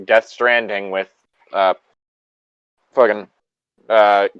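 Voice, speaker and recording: A man's voice: lowish voice, flat delivery, slow, clear, resonant tone, no room sound, muffled recording. A man chatting casually with friends on a voice call, rambling and swearing freely as he goes.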